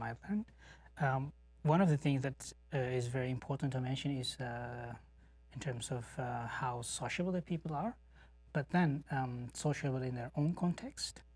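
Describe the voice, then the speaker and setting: A man from Ethiopia, a professor and studio interview guest, speaking in a measured way with many fillers. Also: medium pitch, a little mellow, slightly rough, dry recording.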